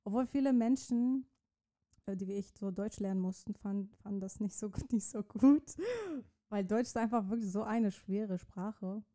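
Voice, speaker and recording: A woman's voice: mid-range voice, moderate pace, mellow. A young woman from Iran, Persian as her first language, answering interview questions casually and searching for words with many restarts. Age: young adult. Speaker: interview guest, student writer.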